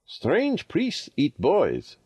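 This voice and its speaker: A man's voice: fairly high pitch, huge pitch swings, very slow, a little mellow, breathy edge, no room sound, voice pushed up, exaggerated voice. A man voicing a small, timid, inquisitive Indian boy in a storybook reading. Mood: fearful.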